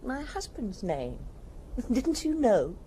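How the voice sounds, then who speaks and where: An actress playing a bitter, hard-drinking wife in an audio drama, languid, sardonic and evasive. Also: female voice, a little high, very animated, takes it slowly, dark tone, slightly rough, exaggerated voice, rough recording. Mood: wistful, evasive. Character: bitter, indifferent hard-drinking wife of missing rich husband.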